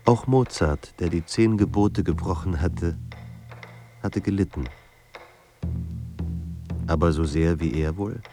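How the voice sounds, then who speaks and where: A man performing as the grave, measured narrator of a despairing writer's life in a literary radio drama. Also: low-pitched, music in the background. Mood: somber, restrained.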